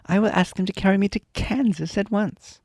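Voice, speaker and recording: A man's voice: a little fast, a little mellow, no room sound, clean studio sound. A man voicing a young girl who is far from home, in a raised, earnest voice. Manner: raised girlish pitch, earnest.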